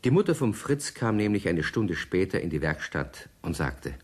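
A man playing the easygoing narrator of a German children's radio story, with a husky voice. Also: low voice, big pitch swings, moderate pace, exaggerated voice. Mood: storytelling.

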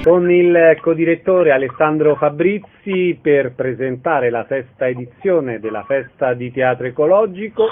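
Male radio presenter for event interviews, voice a bit high for a man, thin telephone-band recording. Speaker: radio host interviewing guests. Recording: phone-like lo-fi radio sound.

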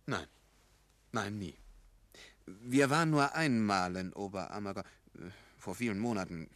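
An actor in a 1950s German radio play as an uneasy, defensive bank clerk, hesitant yet earnestly insistent and eager to please. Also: male voice, lowish voice, a little slow, a bit bright, no room sound.

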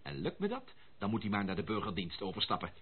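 Eager young posh bachelor in an old radio drama, super animated, exclaims a lot, tinny lo-fi sound. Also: male voice, lowish voice, fast, a little hiss or hum. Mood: amused, determined. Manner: theatrical, exclamatory, old-fashioned genteel phrasing. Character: eager young gentleman bachelor, excitable, amused, curious about his bride. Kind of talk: Dutch radio play acting. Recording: muffled, phone-like old radio recording.

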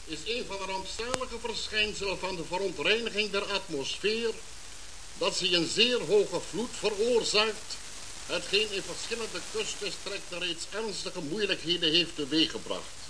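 High-voiced official on hissing radio. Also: male voice, normal speed. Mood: solemn, official. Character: formal government spokesman giving a radio address. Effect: crackling radio receiver. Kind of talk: formal broadcast speech in a radio drama. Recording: heavy hiss and crackle of radio reception.

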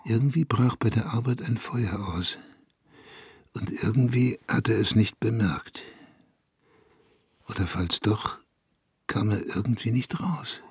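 An actor playing a brooding small-town man who tells his memories in a measured, reflective radio play monologue, with a husky, rough-edged voice. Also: lowish voice, a little mellow, no room sound, full character voice. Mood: brooding, haunted. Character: brooding small-town man recalling local gossip and the dead. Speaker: narrator. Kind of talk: literary radio play monologue, scripted narration.

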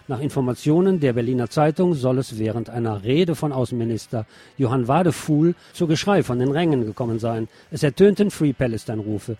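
A man's voice: mid-range voice, mellow, breathy edge. A man presenting radio news, reading reports aloud with brief asides.

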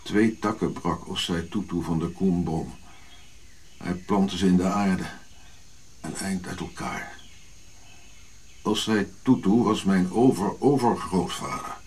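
An actor playing an irritable, haughty old African prince in a radio drama, grumbling, scolding and given to reminiscing. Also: male voice, deep voice, slow pace, long pauses, a little mellow, slight background noise. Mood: nostalgic.

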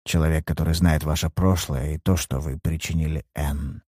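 A man voicing a blunt, cool-headed private detective in a low, quiet voice. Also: fairly even intonation, normal speed, husky, no echo, clean studio sound. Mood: cold.

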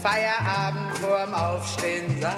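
A woman's voice: mid-range voice, steady tone, takes it slowly. A woman performing a theatrical, half-sung cabaret song. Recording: roomy recording with loud music. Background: loud musical accompaniment.

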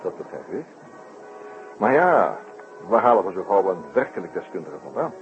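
Casting: courteous, unflappable sleuth for vintage radio play; very low voice, measured and dry, muffled old broadcast sound. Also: male voice, sing-song, soft background music. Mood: polite, probing. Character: composed, courteous male detective known as the Shadow. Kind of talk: 1960s Dutch radio drama acting. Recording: noisy muffled 1960s radio drama recording.

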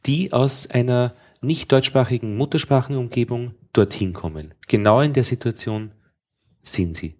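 A man's voice: medium pitch, normal speed, darkish tone. A man with an Austrian accent, a radio interviewer from Upper Austria, telling anecdotes in a rambling way with many restarts. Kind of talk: casual anecdotal conversation.

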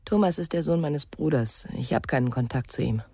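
An actress playing a guarded, curt aunt in restrained radio play dialogue. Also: female voice, low voice, brisk, mellow, husky, dry recording, clean studio sound. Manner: terse, guarded.

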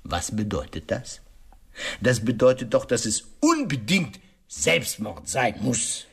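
An actor playing a shrewd, self-assured detective in a radio drama, laying out a case with rhetorical questions and emphatic pauses. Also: male voice, mid-range voice, sing-song, vocal fry, slightly rough, exaggerated voice. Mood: pointed, insistent.

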